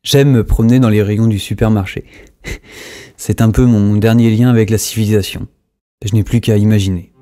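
An actor playing a wary lone disaster survivor who talks to himself in short phrases, in an audio drama. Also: male voice, lowish voice, steady tone, moderate pace, lightly acted. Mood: wistful. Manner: muttered self-talk in short phrases. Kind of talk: French audio drama monologue. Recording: loud music behind the voice. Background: loud instrumental music.

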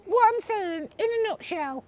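A man's voice: extremely high pitch, lively intonation, unhurried, darkish tone, slight background noise. A man with a British accent putting on a cheeky, childish little boy voice for a comedy prank call. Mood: pleading, playful. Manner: cheeky, giggly, playful. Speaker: prank caller. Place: outdoors on stone steps.

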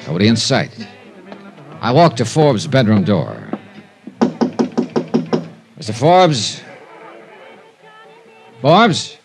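A hard-boiled American investigator in a 1950s radio drama. His wry narration moves up and down in pitch, over dramatic music on an old broadcast. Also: sing-song, drawn-out words, long pauses, full character voice. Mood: tense narration. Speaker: lead actor and narrator of a detective series.

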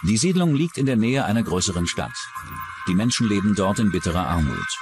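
A man narrates a true-crime documentary in scripted style. His voice sits fairly low and moves with lively intonation, with clearly audible music underneath. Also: a little fast.

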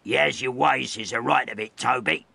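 A man voicing an ugly, surly old-navy sailor with a croaking, grog-ravaged voice. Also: mid-range voice, moderate pace, bright, forceful tone, no room sound, pitched above the performer's own voice, heavily acted.

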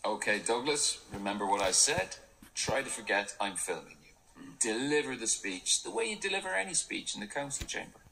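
A man's voice: mid-range voice, sing-song, normal speed, pushed and bright, low-quality recording. An actor in a radio drama playing a wry, idealistic male employee turned whistleblower, speaking in a sardonic, conversational way. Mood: earnest. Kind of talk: radio drama acting and first-person narration. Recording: faint noise, played back through a speaker.

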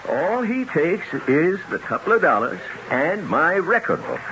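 Brash, animated gun shop owner. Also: male voice, high voice, unhurried. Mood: brash, boastful. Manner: brash, slangy, grumbling. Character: irritated, talkative gun shop owner, a man. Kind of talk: 1970s radio drama acting. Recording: muffled, noisy 1970s radio recording.

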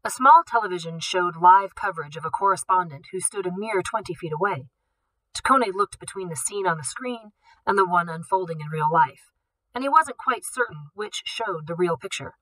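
Bright-voiced woman reading a novel. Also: fairly low pitch, animated, normal speed, no room sound, poor audio. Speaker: audiobook reader.

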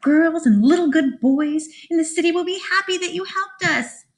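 A woman voicing an eager little male toy clown in a children's story, speaking in a pleading, excited way. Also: very high-pitched, moderate pace, pitched above the performer's own voice.

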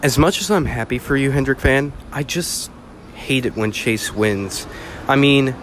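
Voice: mid-range voice, measured pace, over loud music. An amateur voice actor dubbing a toy car for a fan-made video. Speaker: hobby voice actor.

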